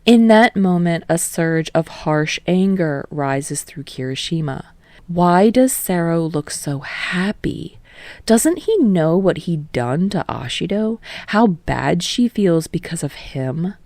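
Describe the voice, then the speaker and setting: A woman narrates a story in an even, unhurried way. Her voice sits very low and has a gravelly edge. The sound is close and dry. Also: no echo. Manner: calm, steady narration. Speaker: podfic reader. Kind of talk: reading fan fiction aloud. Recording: dry close home recording.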